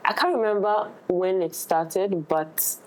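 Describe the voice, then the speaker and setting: A woman's voice: medium pitch, slow, low-quality recording. A woman chatting casually and playfully as an actress in a discussion. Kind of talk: casual chat. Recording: noticeable background noise.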